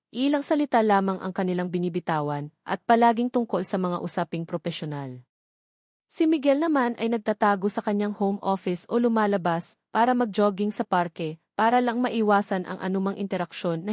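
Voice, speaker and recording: A woman's voice: medium pitch, lively intonation, brisk, darkish tone, no echo, clean studio sound. A woman reading a scripted romantic drama as a story narrator. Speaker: narrator of an audio love story.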